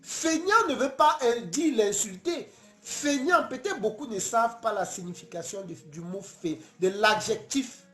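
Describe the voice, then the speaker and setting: A man from Cameroon, hosting a casual late-night live stream, talking earnestly and passionately with many halting restarts. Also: high voice, expressive, fairly bright tone, reverberant, faint music behind.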